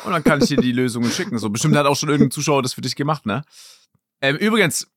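A man's voice: medium pitch, lively intonation, very fast, no room sound, studio quality. A man co-hosting a podcast in casual, slangy banter. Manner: informal, youth slang. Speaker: podcast co-host.

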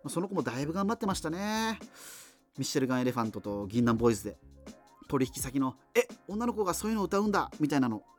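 A self-deprecating radio host at the end of the world, with a slightly high voice and lively, swinging intonation. He rambles through reminiscences wryly and hesitantly, with music playing behind him. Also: quick pace. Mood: wry, rueful. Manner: wry, digressive, full of hesitant fillers. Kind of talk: conversational radio drama monologue, reminiscing.

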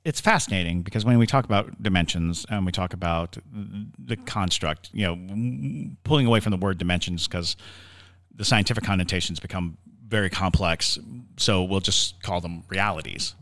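A man with a deep, low voice talks as a podcast co-host. He explains ideas in a musing, conversational way, halting and repeating words as he works through a thought. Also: a bit bright. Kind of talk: conversational paranormal Q&A discussion.

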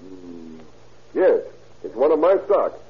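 A man's voice: medium pitch, very animated, slow, faint music behind. An actor playing a plain-spoken, matter-of-fact yarn salesman on the witness stand in an old radio drama. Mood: plain, earnest. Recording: tinny, narrow-band old radio recording.

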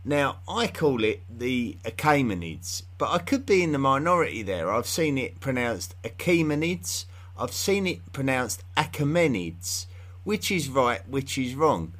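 A man's voice: mid-range voice, very animated, a little slow, fairly bright tone. A man from England with an English accent, hosting a history podcast in an unscripted, rambling monologue full of fillers and restarts.

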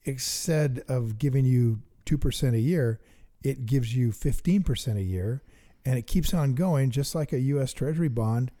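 A middle-aged man co-hosting a chat about investing, eager and thinking out loud as he works sums aloud. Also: mid-range voice, moderate pace. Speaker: investing podcast co-host. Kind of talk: casual teaching chat.

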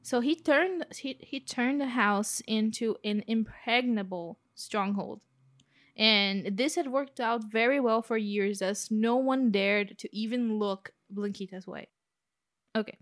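A woman's voice: a little high, a little slow, no echo. Joking Brazilian woman, dry close sound. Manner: joking, sarcastic asides. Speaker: podcast co-host. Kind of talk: casual true-crime chat.

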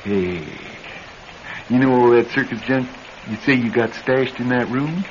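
An actor playing a rough, suspicious intruder with a blunt, folksy, ungrammatical way of talking in a radio drama. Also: male voice, mid-range voice, a little slow, lightly acted. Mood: bullying. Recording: dull, slightly noisy old radio recording.

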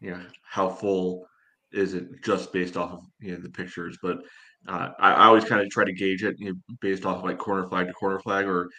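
A man with a very low voice co-hosts a casual sports podcast. His delivery is flat and measured, hedged with frequent filler phrases. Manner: measured, hedging, peppered with "you know".

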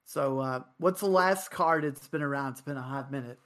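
A man's voice: normal speed, a bit bright. A man hosting a casual podcast, chatting in a laid-back, rambling way. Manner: laid-back, rambling, self-described high voice. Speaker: podcast host.

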